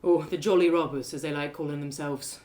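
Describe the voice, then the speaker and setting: Woman doing a weary troubled guy at a pub, lowered voice, glum, short answers, audio drama. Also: fairly even intonation, brisk, a little mellow, natural voice. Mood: resigned. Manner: glum, terse, sighing. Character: careworn, troubled man, weary son of robbers.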